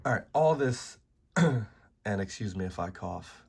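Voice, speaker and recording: A man with a very deep, hoarse voice, rough from being sick and having lost it days ago. He rants straight to camera for his card-game channel, blunt and exasperated, cursing freely as he argues his point. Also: animated, takes it slowly, darkish tone. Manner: blunt, profane, exasperated ranting. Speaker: trading card game YouTuber. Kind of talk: ranting video commentary.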